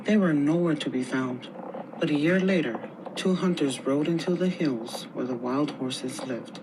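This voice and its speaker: A woman reading a picture book aloud in a steady, even way. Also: low-pitched, measured pace, noisy background.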